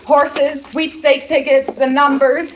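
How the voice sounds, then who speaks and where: High-voiced, nagging, fed-up wife. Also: female voice, level tone, slow. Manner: scolding, sarcastic, accusatory. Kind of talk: 1940s radio thriller acting. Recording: muffled, noisy old radio recording.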